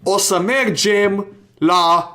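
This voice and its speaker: A man's voice: high voice, sharp tone. A man giving casual, crude and joking gameplay commentary, reading game text aloud as he goes. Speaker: gaming video host. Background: video game music.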